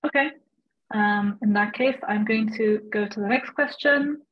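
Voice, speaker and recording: A woman's voice: medium pitch, a little slow. A young adult woman informally moderating a webinar Q&A and relaying questions over a video call. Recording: dull, lo-fi video call audio.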